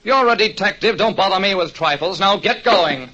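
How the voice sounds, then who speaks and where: A man's voice: sits high, animated, moderate pace, bright, forceful tone, pitched above the performer's own voice, full character voice. A man playing a hot-tempered, bossy, lovesick client in an old radio detective drama, with emphatic, commanding delivery. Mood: bossy, impatient. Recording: dull, noisy old radio recording.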